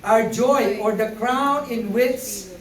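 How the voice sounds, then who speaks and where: A man's voice: extremely high pitch, takes it slowly. A man preaching a sermon, hesitant with many fillers, then building to emphatic repeated phrases. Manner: hesitant with frequent fillers, rising to emphatic repetition. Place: church sanctuary. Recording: echoey room, voice from far off.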